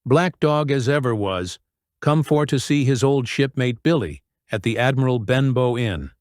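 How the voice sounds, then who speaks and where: A man voicing a fawning, sneering middle-aged pirate with a slow drawl, on a close, dry mic. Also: medium pitch, lively intonation, a little mellow, dry recording, lightly acted. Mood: sly. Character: wheedling menacing middle-aged male pirate.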